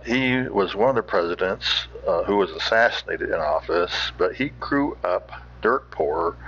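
A man hosting with informal, rambling commentary in a folksy, halting manner. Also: on the low side, sharp tone, slightly rough. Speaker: podcast host. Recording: dull, muffled recording.

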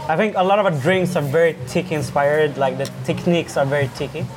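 A man in his thirties, from Sweden, chats as a guest in a busy bar. His voice sits a little higher than most men's, and his answers are easygoing and full of fillers. Bar noise carries clearly behind him. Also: fast talker. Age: 30s. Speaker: bartender, guest. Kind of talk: casual interview chat. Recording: noisy bar background.

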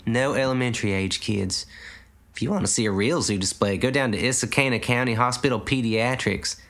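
A man voicing a weary, shy old farm wife who speaks softly. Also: mid-range voice, fairly quick, close to an everyday voice.

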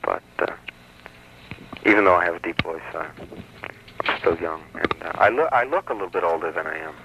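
A sixteen-year-old male caller on a radio show, chatting hesitantly with frequent pauses. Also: low voice, expressive, noisy background. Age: teens. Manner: hesitant, giggly, soft-spoken. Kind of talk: casual call-in radio chat. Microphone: telephone. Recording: tinny telephone line on lo-fi radio.

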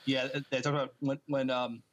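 A man co-hosting a podcast, reading out notes and chatting casually with a halting, hesitant delivery. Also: mid-range voice, little pitch movement. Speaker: podcast co-host.

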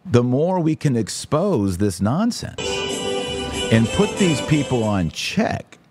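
A man's voice: medium pitch, big pitch swings, takes it slowly, loud music behind the voice. A man hosting a live podcast, giving opinionated commentary and answering listeners in an emphatic, preacherly, indignant way. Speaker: podcast host.

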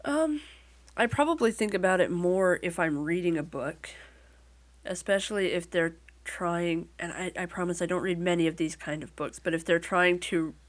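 A woman's voice: lowish voice, moderate pace. A woman with a Midwestern American accent, talking casually as an interview guest and telling things in a wry, lightly joking way. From Midwestern United States.

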